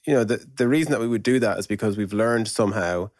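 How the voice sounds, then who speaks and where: A man's voice: lowish voice, fast, a little mellow, no room sound, clean studio sound. A man explaining his thinking in a casual podcast conversation, informal and thinking aloud with frequent asides. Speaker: podcast guest discussing product principles and process.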